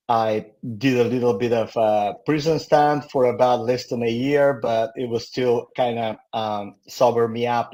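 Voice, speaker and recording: Interview guest: mature male, Bulgarian accent, candid storyteller who pauses and searches for words. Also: mid-range voice, a little mellow. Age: middle-aged or older. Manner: halting, candid. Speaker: guest, company founder.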